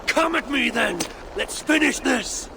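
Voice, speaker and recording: A man's voice: extremely high pitch, animated, measured pace, gravelly, full character voice. A man playing a proud, wrathful Norse god warrior in a fantasy game, defiant and commanding. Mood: furious defiance. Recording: noisy ambience with water sounds.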